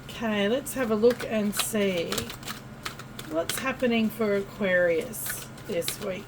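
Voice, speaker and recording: An Australian woman giving a casual tarot reading to camera, chatty and friendly, often pausing with fillers and restarting. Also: mid-range voice, very noisy. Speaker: tarot reader.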